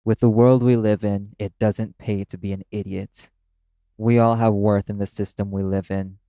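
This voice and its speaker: A brash male demon acted by a man in an audio roleplay. The voice sits low, with a mellow, dark tone. He is cynical and scornful, swearing bluntly at whoever he addresses. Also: flat delivery, normal speed, no room sound, natural voice. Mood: cynical, dismissive.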